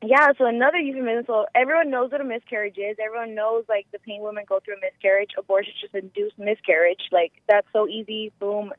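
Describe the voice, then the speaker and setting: A woman with a squeaky voice calling in by phone, chatting casually in a rambling way full of filler words. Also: steady tone, fast talker, hardly any pauses, no room sound. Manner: rambling, frequent "like" and "you know". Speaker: caller. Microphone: phone call. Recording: muffled lo-fi telephone line.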